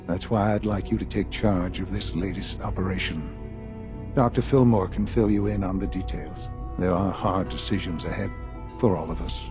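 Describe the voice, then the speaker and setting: Deep-voiced grave older leader. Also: male voice. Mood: firm. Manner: measured, formal, authoritative, with deliberate pauses. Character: grave older male leader of a secretive science institute, dying. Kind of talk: dramatic video game acting, measured formal speeches.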